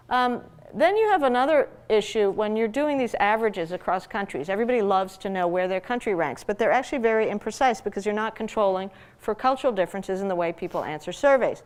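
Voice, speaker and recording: A smooth, clean female voice at an ordinary pitch, from a speaker who grew up in Peru. She lectures like an academic at ease with her audience. Her delivery is explanatory and conversational, with rhetorical questions to keep listeners following. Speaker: economist giving a public lecture.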